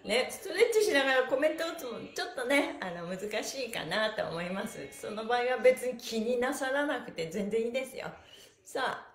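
High-pitched cheerful woman in an echoey room. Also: animated, normal speed. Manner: cheerful, very polite, playfully addressing body parts and devices with honorifics. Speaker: stretch class instructor and livestream host. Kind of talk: chatty exercise instruction with counted chants. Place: small indoor room with bare walls and mat, echoey.

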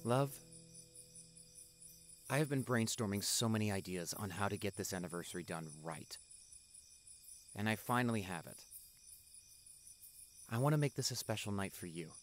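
A young man playing a devoted doctor boyfriend in a roleplay audio drama, speaking softly and affectionately with some hesitation. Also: fairly low pitch, lively intonation, moderate pace, long pauses, faint music behind, lightly acted. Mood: earnest, loving. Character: tender, devoted doctor boyfriend on an anniversary date. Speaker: voice actor.

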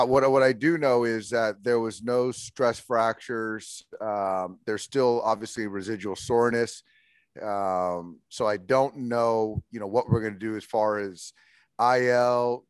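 Man, forties, team manager at a postgame presser, low voice, dry close sound, measured and halting. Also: clean tone, no room sound. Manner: measured, many fillers and restarts. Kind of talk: postgame press conference answers. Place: indoors in front of a logo backdrop.

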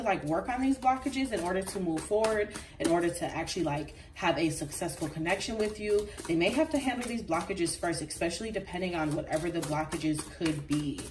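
A woman's voice: medium pitch, distant mic, a little hiss or hum. Congested woman chatting through a tarot reading. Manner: chatty and animated, frequent ums and laughs. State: congested from a recent flu. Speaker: tarot reader. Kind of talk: casual tarot reading to camera. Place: indoors at a table with crystals.

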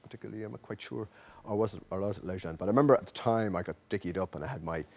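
A man's voice: on the low side, very fast, a little mellow. An Irish man in his fifties telling stories in an interview as an amateur stage actor, acting out quotes as he goes. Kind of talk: interview reminiscence. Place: empty theatre auditorium, seated. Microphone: lapel mic.

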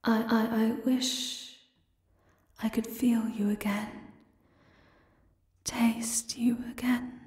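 A woman voicing a creepy, obsessively devoted castle servant, hushed, halting and menacingly calm, in an ASMR roleplay. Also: a little high, husky, no room sound, clean studio sound, heavily acted. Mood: hushed yearning.

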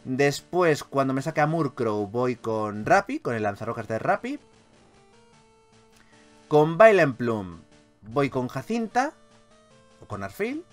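A man with a Castilian Spanish accent giving casual, animated gameplay commentary as a video host, swearing freely. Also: mid-range voice, slow, pauses often, faint music behind. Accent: Spanish from Spain.

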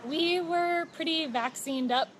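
A woman in her thirties chatting casually on a phone livestream outdoors, relaxed and informative with fillers and small laughs. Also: very high-pitched, unhurried. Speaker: travel vlogger. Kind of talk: casual live chat. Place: outdoors at a home stay patio. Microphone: phone held close. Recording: outdoor noise behind.

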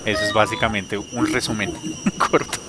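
A man in his thirties, a guest sharing his faith story on a video call through a headset, talking warmly with frequent laughs and fillers. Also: lowish voice, fairly even intonation, normal speed, heavy background noise. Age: 30s. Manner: warm, laughs often, hesitant fillers. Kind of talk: personal testimony in an interview.

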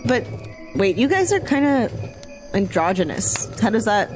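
A woman playing an excitable, hot-tempered and curious demon in an audio drama, blunt and casual. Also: mid-range voice, unhurried, faint music behind, poor audio. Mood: inquisitive. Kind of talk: audio fiction podcast acting.

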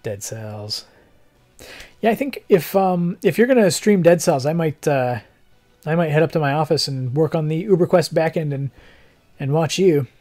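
Slightly hoarse, mellow young male streamer. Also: fairly high pitch, expressive, normal speed. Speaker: game streamer. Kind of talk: casual livestream chat. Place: living room, seated in armchair indoors.

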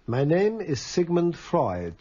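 An actor playing a courteous, probing Viennese physician in a radio drama, speaking with a Central European accent in a polite, measured way. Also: male voice, on the high side, very animated, warm tone, slightly rough, muffled recording, heavily acted. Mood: calm, probing. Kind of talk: BBC radio drama acting.

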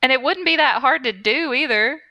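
A woman's voice: high voice, moderate pace, bright, forceful tone, no room sound. A woman co-hosting a podcast in casual chat, with wry, playful quips. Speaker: podcast co-host.

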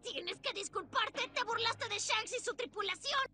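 A woman playing a hot-tempered, defiant little boy in an anime dub, yelling indignantly. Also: very high-pitched, expressive, normal speed, exaggerated voice. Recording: loud music behind the voice.

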